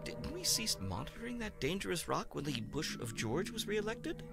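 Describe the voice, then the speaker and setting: A cowardly alien servant who grovels before his master. The voice is high and crackly, leaping about with nervous, oily flattery. It is campy sci-fi radio comedy with music behind. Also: moderate pace, vocal fry, full character voice. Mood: fawning. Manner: obsequious, florid flattery, nervous.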